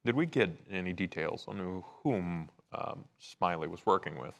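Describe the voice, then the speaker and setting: Dry male vampire investigator, played by a man, deep voice, formal phrasing, tabletop roleplay. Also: lively intonation, moderate pace, gravelly, a bit bright, heavily acted.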